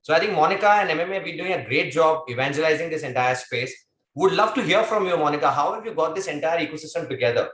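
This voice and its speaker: A man's voice: fairly high pitch, lively intonation, fast, a bit bright. A man moderating a webinar panel, talking enthusiastically and conversationally. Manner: enthusiastic, effusive praise, conversational. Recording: echoey room sound.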